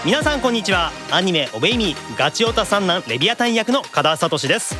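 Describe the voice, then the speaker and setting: Fast, high-voiced male radio host. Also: sing-song, over loud music. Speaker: radio show host, voice actor. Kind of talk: lively scripted intros and playful banter.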